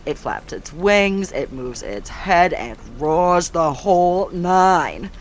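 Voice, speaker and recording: A matter-of-fact events planner, played by a woman in an audio drama, speaking in a very low voice. Faint noise sits under her reports. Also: expressive, takes it slowly.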